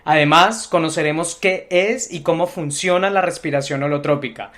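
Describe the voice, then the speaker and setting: Higher-voiced man hosting a podcast. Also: moderate pace, fairly bright tone. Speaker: podcast host and interviewer. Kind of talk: scripted introduction, then conversational interviewing.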